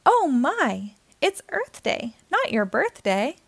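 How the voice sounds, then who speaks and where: A woman's voice: high voice, sing-song, takes it slowly, gravelly, no room sound, heavily acted. A woman voicing a helpful female snake who explains things in a storybook. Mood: surprised, instructive. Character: female tree snake, surprised, helpful teacher.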